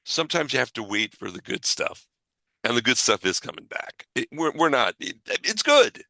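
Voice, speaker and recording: Bright-voiced man hosting a podcast. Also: medium pitch, sing-song, moderate pace, vocal fry, no room sound. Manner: reassuring, repeats himself. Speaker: podcast host. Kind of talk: casual podcast chat and sign-off.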